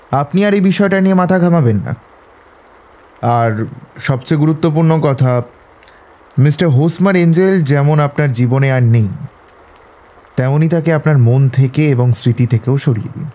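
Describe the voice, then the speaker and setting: An actor playing a confident, analytical detective in an audio drama, speaking in a measured, instructive way. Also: male voice, on the high side, dark tone, natural voice. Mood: firm, reassuring. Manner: measured, authoritative, didactic.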